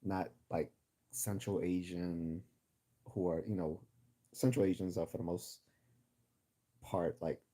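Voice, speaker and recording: Male livestream host, low husky register, generic Midwestern American accent, casual and opinionated. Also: fairly even intonation, slow pace, pauses often. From United States. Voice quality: deep, husky, mellow.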